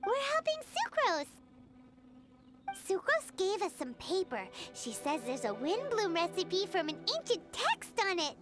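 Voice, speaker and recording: A woman voicing a small, childlike, cheeky and excitable fairy companion in an anime video game. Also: very high voice, measured pace, exaggerated voice. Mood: chatty. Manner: speaks of self in third person, exclaims eagerly. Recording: music playing behind the voice.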